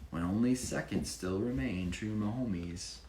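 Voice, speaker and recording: A man reading his own children's picture book with expressive emphasis, pausing to explain it casually to a child. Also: fairly low pitch. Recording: echoey room with faint background noise.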